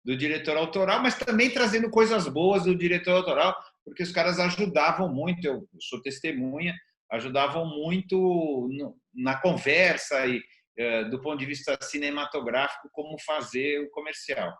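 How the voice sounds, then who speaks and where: A man's voice: a little high, moderate pace, a bit bright. An older-sounding Brazilian man with a slightly raised voice talks things through in conversation. He explains step by step and repeats phrases for emphasis, in a roomy, echoing recording. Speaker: interview show host. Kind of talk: conversational discussion.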